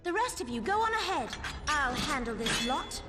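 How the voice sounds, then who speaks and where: Feisty young fighter girl, game cutscene, animated intonation, brash, long reverb with faint music. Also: sits high, moderate pace, exaggerated voice. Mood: bold. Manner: brash, informal, spirited. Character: feisty, cheerful, reckless young female martial-arts fighter. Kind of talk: video game cutscene voice acting.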